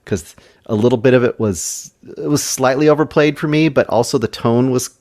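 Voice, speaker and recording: A man's voice: medium pitch, a little fast, a little mellow, dry recording, studio quality. A man giving a casual movie review as a panelist, thinking aloud and qualifying his opinions.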